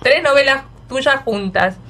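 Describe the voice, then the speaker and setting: A woman's voice: high-pitched, unhurried, fairly bright tone. A woman with an Argentine accent hosting a casual radio interview and reading out messages from listeners. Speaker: radio host.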